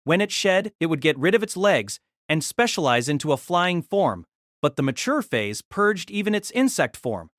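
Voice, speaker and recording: A man's voice: fairly high pitch, big pitch swings, moderate pace, fairly bright tone, no echo, studio quality. A man reading scripted narration for a fantasy story. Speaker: narrator of a fantasy audio drama.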